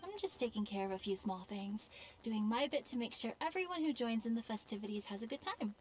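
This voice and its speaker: A woman's voice: fairly high pitch, lively intonation, brisk, lightly acted. A woman voicing a modest, helpful female festival organizer in a video game, polite and easygoing.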